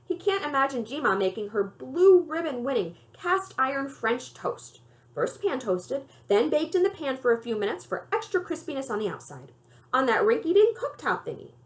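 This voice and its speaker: A woman, a librarian, giving an expressive read-aloud narration of a children's novel. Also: high voice.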